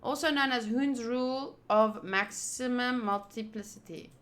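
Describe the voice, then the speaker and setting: Female visual novel streamer, bright and higher-pitched, excitable, casual, thinking aloud as she plays and reads. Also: measured pace. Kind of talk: casual playthrough commentary mixed with reading game text aloud.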